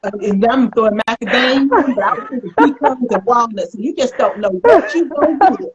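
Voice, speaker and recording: A woman's voice: on the high side, brisk, highs cut off, a crowd in the background. An American woman hosting a casual interview in a chatty, playful, folksy way. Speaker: talk show host.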